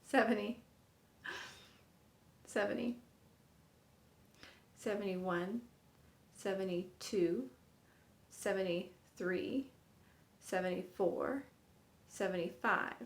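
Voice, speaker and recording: A woman's voice: medium pitch, slow, pauses often. A woman in her fifties teaching a sign language lesson, counting aloud and encouraging viewers in an upbeat way. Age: 50s. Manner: upbeat, encouraging, laughs at own slips. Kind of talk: counting aloud while demonstrating signs. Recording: echoey room.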